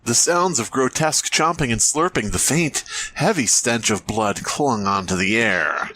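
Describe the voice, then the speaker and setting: A man with a mid-pitched voice and very animated delivery co-hosts a comedy podcast. He moves between mock-dramatic reading and casual, expressive asides. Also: measured pace, a little hiss or hum. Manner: expressive, riffing, often breaking off into asides. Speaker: podcast co-host.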